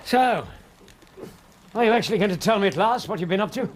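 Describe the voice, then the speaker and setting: An actor playing a loyal, proper English gentleman companion with a British accent, earnest and concerned, in a radio drama. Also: high voice, fairly quick, background noise, close to an everyday voice. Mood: curious.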